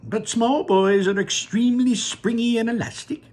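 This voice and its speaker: A storybook reader voicing an eccentric older candy-maker in a high, airy, lilting voice. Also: steady tone, moderate pace, a little mellow, lightly acted. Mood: breezy.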